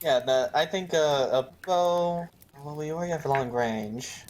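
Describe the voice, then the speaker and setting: Slow, hesitant man improvising in a game. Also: fairly high pitch, a little hiss or hum. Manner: hesitant, halting. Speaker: tabletop game player. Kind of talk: casual improvised game talk.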